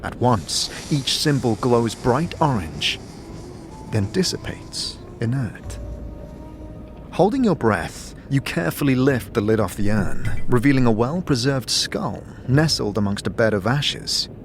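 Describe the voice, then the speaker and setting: A man giving measured, atmospheric second-person narration of a fantasy story as game master. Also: medium pitch, sing-song, over music.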